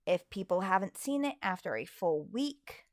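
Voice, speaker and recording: A woman's voice: medium pitch, big pitch swings, moderate pace, dry recording, clean studio sound. A tired woman chatting casually as she hosts a live stream, using mild, folksy exclamations. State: a little tired. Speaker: live stream host and amateur voice actor.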